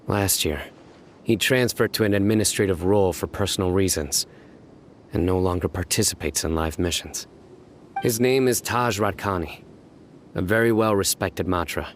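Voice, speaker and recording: An actor playing a stern, composed young investigator in a video game, speaking in a serious, measured deadpan. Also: male voice, low-pitched, a little hiss or hum. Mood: calm, reassuring. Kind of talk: video game character acting.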